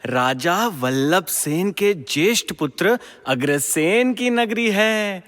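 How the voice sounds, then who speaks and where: A man's voice: high-pitched, animated, fairly bright tone, heavily acted. A man playing a teasing celestial sage in a mythological audio drama, with theatrical, sing-song delivery. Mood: serene, teasing. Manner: sing-song drawn-out chanting, theatrical, needling. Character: celestial wandering sage, teasing messenger of the gods. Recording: long hall reverb.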